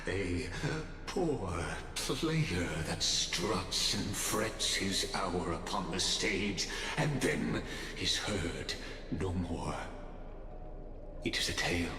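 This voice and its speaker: A very deep enigmatic, knowing guide in a fantasy game. He declaims with courtly grandeur at an extremely slow pace, his pitch swelling and falling. The voice rings in a long reverb. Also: noisy background, full character voice. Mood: grandly tragic. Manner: grandiloquent, dramatic, deliberate phrasing. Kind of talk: theatrical scripted game dialogue, reciting verse. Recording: echoey long reverb over music.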